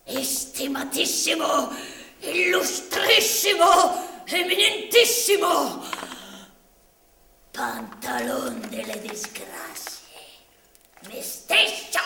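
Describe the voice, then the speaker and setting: A woman's voice: extremely high pitch, gravelly, pushed and bright, full character voice. A woman playing a haughty, bullying landowner captain in a commedia dell'arte radio play, declaiming pompously. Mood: grandiose. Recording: very echoey room, distant mic.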